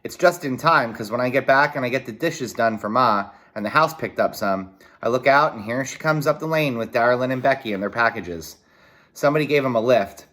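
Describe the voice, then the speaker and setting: A man reading a children's novel aloud in a folksy, expressive way, with short explanatory asides. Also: mid-range voice, brisk. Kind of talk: read-aloud narration with brief explanatory asides.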